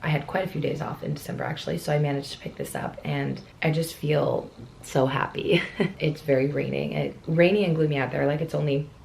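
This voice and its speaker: Low, raspy, slightly hoarse young woman. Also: steady tone, a little fast, a little hiss or hum. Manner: candid, rambling, soft-spoken. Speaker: vlogger. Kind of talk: casual talk to camera. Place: hotel room with rain and traffic outside.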